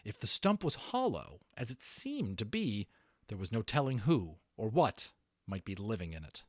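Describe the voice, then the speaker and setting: Male amateur narrator, mid-pitched and animated, for expressive children's book storytelling in a dry space. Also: normal speed, dry recording. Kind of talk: dramatic storybook reading with casual asides.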